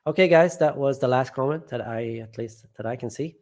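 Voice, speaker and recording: Man hosting a relaxed home live stream, mid-pitched voice, reads out chat comments, meanders and restarts his sentences. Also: fairly quick. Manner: rambling, often trailing off and restarting. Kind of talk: casual live chat, reading viewer comments aloud.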